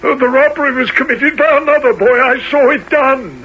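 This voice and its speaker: An actor playing an earnest, kindly gentleman in a radio drama, speaking in a halting, flustered way. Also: very high-pitched. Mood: agitated, pleading. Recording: dull lo-fi radio recording, faint noise.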